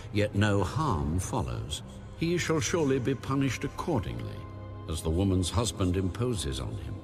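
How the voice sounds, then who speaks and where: A man narrating scripture formally as an audiobook reader, with solemn, measured phrasing. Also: low voice, a little mellow.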